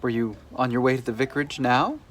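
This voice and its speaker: A man's voice: medium pitch, quick pace, noisy background, natural voice. A man playing a reserved, naive academic bachelor in a dramatized novel, mild and donnish. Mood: politely hesitant.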